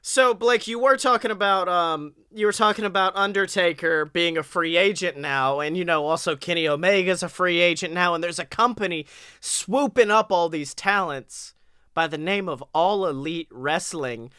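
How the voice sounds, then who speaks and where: A young man with a somewhat high, bright voice talks casually as a podcast co-host. His delivery is animated, jokey and profane, and the sound is clean and dry. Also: moderate pace, clear, resonant tone, dry recording. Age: young adult. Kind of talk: casual, joking wrestling podcast banter. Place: small room at home, on a video call.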